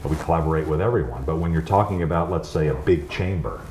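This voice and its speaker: A very low-pitched man talking as an interview guest, loose and rambling with lots of restarts and an upbeat tone. There's a faint hiss of background noise under his voice. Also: fast, darkish tone. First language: English. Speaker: guest, chamber founder. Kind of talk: casual interview conversation.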